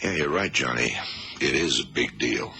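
A man playing a calm, probing blind American detective in an old radio drama, with a gravelly, measured delivery. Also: very deep voice, full character voice. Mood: serious, reflective. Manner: unhurried, measured, terse. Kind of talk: 1970s radio drama acting. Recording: faint noise, old radio recording.

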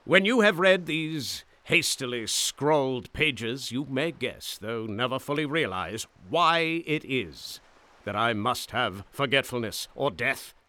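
A man's voice: mid-range voice, expressive, takes it slowly, pushed and bright. An actor playing a doomed, desperate morphine addict who narrates his confession in a florid, theatrical old radio horror style. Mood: anguished, despairing. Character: doomed morphine-addicted man writing his suicide note, desperate and haunted. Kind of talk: 1930s radio horror drama monologue.

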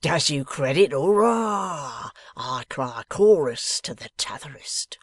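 A man's voice: medium pitch, takes it slowly, a bit bright, no echo, full character voice. A man voicing a coarse, sly lock-keeper from a novel, growling with an insolent manner. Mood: jeering. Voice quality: growling, gruff. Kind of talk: dramatised novel reading.

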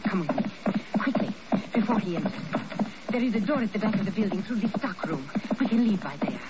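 A woman's voice: medium pitch, animated, brisk, loud music behind the voice, close to an everyday voice. An actress playing a frightened, urgent young woman who pleads breathlessly in a 1950s radio spy thriller. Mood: frightened urgency. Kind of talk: 1950s radio drama acting. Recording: noisy, dull old radio recording.

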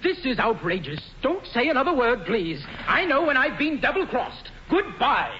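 An actor playing an indignant, wounded young playwright, earnest and huffy, in 1940s radio style. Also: male voice, very high-pitched, sing-song, moderate pace, sharp tone, exaggerated voice. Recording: dull, faintly noisy 1940s radio recording.

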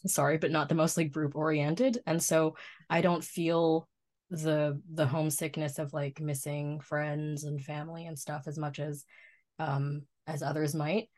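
A woman's voice: sits low, flat delivery, unhurried, a little mellow. A young woman from Canada, a podcast guest chatting casually with frequent ums and light laughs. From Canada, Ottawa.

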